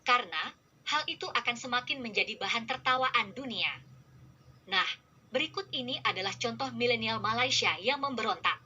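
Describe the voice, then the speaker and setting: A woman reading scripted narration in an even, formal manner. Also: fairly high pitch, moderate pace, husky, lo-fi sound.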